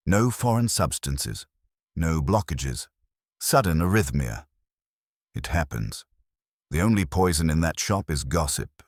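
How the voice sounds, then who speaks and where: A man voicing a gruff, irritable, weary police inspector in a detective audiobook, blunt, clipped and bossy. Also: extremely low pitch, dry recording, clean studio sound, full character voice.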